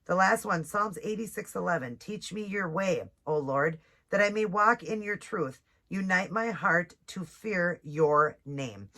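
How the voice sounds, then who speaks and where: A woman with a stuffed-up voice from a cold, chatting casually and reading devotional passages slowly. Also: fairly low pitch, fairly bright tone. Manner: chatty, reads scripture slowly, apologizes often. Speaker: nail and devotional vlogger. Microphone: ring light setup, camera close.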